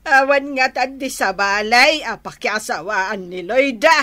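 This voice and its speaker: A woman's voice: on the high side, unhurried, bright, forceful tone. A woman playing a lovelorn, jealous neighbour who laments in an Ilocano radio drama, with an Ilocano accent. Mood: lamenting, jealous. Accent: Ilocano, northern Philippines.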